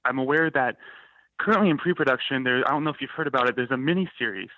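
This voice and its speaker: Quick, bright young male caller. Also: medium pitch, dry recording, muffled recording. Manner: eager, chatty. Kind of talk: phone call to a live show.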